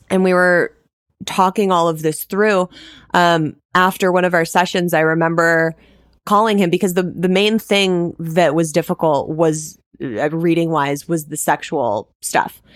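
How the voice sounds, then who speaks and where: Woman, podcast host, lowish pitch, candid personal talk, emphatic, casually profane, very dry sound. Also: unhurried, dry recording.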